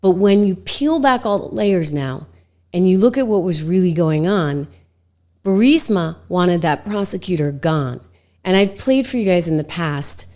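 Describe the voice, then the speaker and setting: A middle-aged woman hosting a political talk show, slightly hoarse from a cold, talking emphatically and conversationally with lots of "like" fillers. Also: fairly low pitch, expressive, a little slow, darkish tone. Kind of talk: opinionated political commentary.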